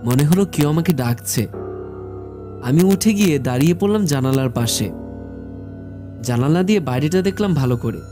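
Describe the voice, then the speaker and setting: A man narrating a scripted first-person horror story in a measured storytelling manner. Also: a little high, lively intonation. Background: background music.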